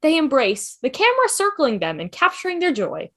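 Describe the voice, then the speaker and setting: High-pitched, very lively woman narrator. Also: fairly quick. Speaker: narrator reading stage directions. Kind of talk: scripted narration at a table read. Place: home, on a video call. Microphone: video call microphone.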